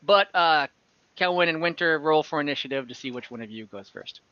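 A man's voice: on the high side, lively intonation, a little fast, bright, forceful tone, no room sound, highs cut off. A young man game master casually narrating an online tabletop game over a video call. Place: indoor room at home, on a video call.

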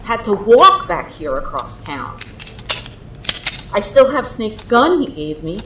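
A woman's voice: medium pitch, wildly swooping pitch, slow. A woman playing a tough, world-weary survivor in an action audio drama. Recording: echoey room with clatter noise.

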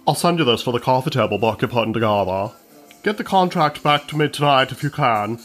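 A man voicing a brisk, curt male business manager in a comedy audio drama. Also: mid-range voice, lively intonation, fairly bright tone, natural voice. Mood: brisk, placating.

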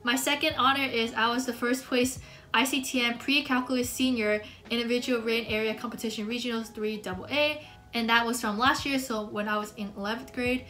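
A woman's voice: fairly high pitch, fairly even intonation, fairly quick, sharp tone. Chatty eighteen-year-old woman, light voice. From United States. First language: Mandarin. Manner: chatty, upbeat, many "like" fillers. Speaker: student vlogger. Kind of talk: casual talk to camera. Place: small bedroom, indoors.

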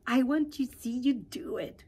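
A woman voicing an eager, naive young male pollywog in a children's storybook, excitable and exclaiming. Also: high voice, unhurried, husky, pitched above the performer's own voice. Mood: very excited.

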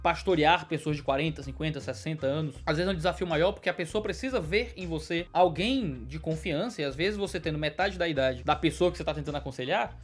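A man in his twenties, a pastor, answering viewer questions in a quick, explanatory way from a study lined with bookshelves. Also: fairly high pitch. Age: 20s. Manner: fast, explanatory, gestures with hands.

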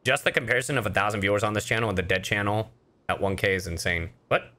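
A man's voice: fairly low pitch, fast talker. A man hosting a livestream, chatting casually and informally with his viewers while playing a game. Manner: animated, informal, profane. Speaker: streamer, host.

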